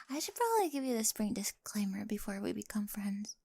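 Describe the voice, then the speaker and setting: A young woman playing a quirky, awkward, talkative high school girl with a crush in an ASMR roleplay, rambling eagerly and often slipping into breathy whispers. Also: big pitch swings, normal speed, a little mellow, slight background noise. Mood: playful, confiding. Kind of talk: scripted ASMR roleplay, addressed to the listener. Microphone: close, dry studio-style microphone.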